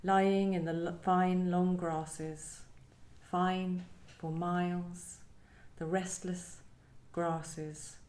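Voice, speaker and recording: A woman poet with a soft, dark-toned voice speaks in a literary setting. She is reflective and feels for her words, and the room rings noticeably around her. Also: lowish voice, flat delivery, extremely slow. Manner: hesitant, searching, thoughtful phrasing. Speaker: poet discussing own work. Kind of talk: reflective literary conversation with poetry reading. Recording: roomy live event sound.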